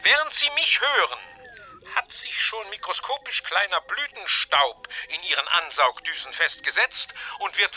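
An actor playing a gloating mad scientist villain in a science-fiction audio drama, theatrical and mock-polite, sneering and drawing out words. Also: male voice, sits high, bright, forceful tone, full character voice. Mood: smug threat.

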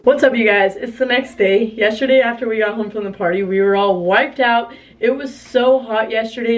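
A woman's voice: a little high, distant mic. A tired young woman, a family vlogger, chatting casually and rambling to the camera from a car, her voice worn and fading. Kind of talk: casual vlog chat to camera.

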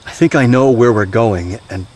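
An actor playing a weary, haunted middle-aged soldier and gentle father in an audio drama, halting and confiding. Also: medium pitch, close to an everyday voice. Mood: haunted, hesitant. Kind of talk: naturalistic audio drama acting. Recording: steady outdoor night-insect noise.

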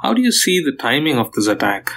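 A man hosts an interview podcast. His pitch is medium and his questioning is hesitant and tentative. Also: big pitch swings, fairly quick, vocal fry, a bit bright. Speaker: podcast host.

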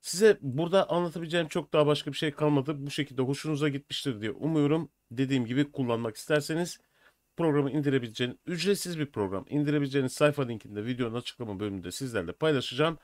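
Older guy doing a casual how-to, mid pitched voice, dry recording. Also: racing, darkish tone, no room sound. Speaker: software tutorial presenter. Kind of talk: informal step-by-step tutorial narration. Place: small indoor room at a desk. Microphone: USB computer microphone.

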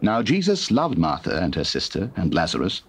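Low, expressive British scripture narrator, male. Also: slightly rough. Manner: measured, solemn. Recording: hissy old cassette recording.

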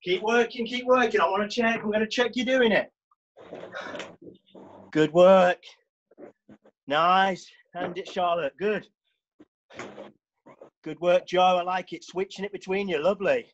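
A man's voice: high voice, steady tone, unhurried, long pauses, dull audio, slight background noise. Man coaching a karate class. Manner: barking encouragement and commands while training. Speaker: karate instructor. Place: small brick-walled gym.

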